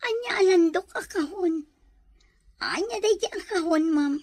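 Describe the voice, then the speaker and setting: A woman's voice: very high-pitched, animated, a little slow, no echo. A woman playing a frightened, pleading young housemaid in an Ilocano audio drama, timid and deferential. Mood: anxious.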